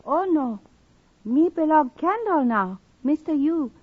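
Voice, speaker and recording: A woman's voice: high voice, lively intonation, takes it slowly, dry recording. An actress playing a submissive young Chinese woman with a Chinese accent and broken English in a 1950s radio western. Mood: meek, pleading. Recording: thin, narrow-band old radio recording.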